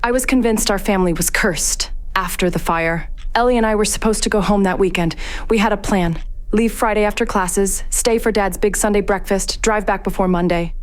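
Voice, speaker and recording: A woman's voice: mid-range voice, normal speed, lightly acted. A woman playing a guilt-haunted, grieving young woman in an audio drama, introspective, brooding and wry. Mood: brooding, guilty. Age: young adult. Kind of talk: audio drama acting, confessional narration.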